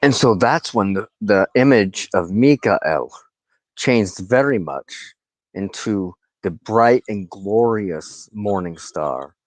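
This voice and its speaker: A man giving a rambling, informal monologue to his viewers in a halting, reflective manner, often pausing mid-sentence. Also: lowish voice, animated, dry recording, rough recording. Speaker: host of a personal spiritual channel, wand crafter.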